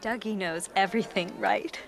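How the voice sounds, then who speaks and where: A woman's voice: medium pitch, fairly even intonation, normal speed, creaky, over music, natural voice. An actress playing a troubled, searching daughter in a naturalistic radio drama, direct and wry in the way she talks. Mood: wry, probing.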